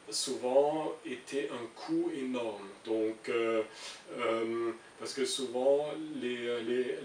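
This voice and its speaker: A middle-aged man from Germany, a native German speaker with a German accent, answering interview questions as an international civil servant and often searching for his words. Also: medium pitch. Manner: hesitant, searching for words with frequent repetitions. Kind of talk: interview answers, explaining an opinion. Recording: roomy, distant mic with faint hiss.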